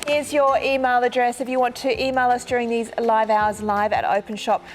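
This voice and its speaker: A woman's voice: a little high, level tone, a little hiss or hum. Bubbly woman host with a Kiwi lilt. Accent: New Zealand. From New Zealand. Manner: bubbly, chatty and quick to laugh. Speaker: shopping channel host. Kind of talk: live shopping broadcast presenting.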